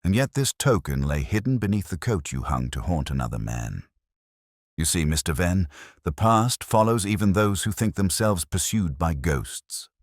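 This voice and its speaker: A man acts out a shrewd Victorian detective from a mystery audiobook. He is cool and commanding, with a very deep, dryly recorded voice and cryptic, deliberate phrasing. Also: very animated, dry recording, studio quality.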